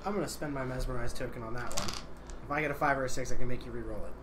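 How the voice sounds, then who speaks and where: A man's voice: mid-range voice, racing. A man talking casually through his moves in a tabletop game on a livestream. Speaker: board game player. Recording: noisy livestream room audio.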